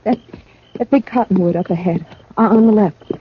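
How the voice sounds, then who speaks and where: A woman's voice: mid-range voice, moderate pace, slight background noise, natural voice. An actress playing a troubled, guarded young frontier woman in a radio western, hesitant, defensive and emotional in manner. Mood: shaken, resigned. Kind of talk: 1950s radio western acting. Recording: thin, phone-like vintage radio recording.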